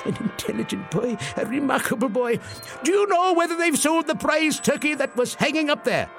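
Elderly reformed miser, squeaky and gleeful, wildly swooping, stammery, storybook. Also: male voice, expressive, fairly quick, gravelly, a bit bright, faint music behind, full character voice. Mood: giddy. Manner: giddy, breathless, stammering. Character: elderly miserly man turned giddy and jovial.

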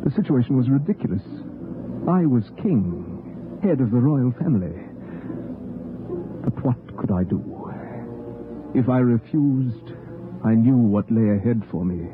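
A man's voice: mid-range voice, animated, a little slow, long pauses, noisy background, lightly acted. An actor playing a deposed male king on the run, who also narrates, dry and composed in an old radio drama. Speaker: narrator and lead. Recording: tinny old radio broadcast with music.

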